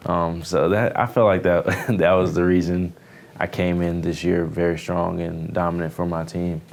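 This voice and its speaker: Very low, even-toned young American man. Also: moderate pace, gravelly. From Houston area, United States. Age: early twenties. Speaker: baseball pitcher, newly drafted player. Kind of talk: casual interview answers.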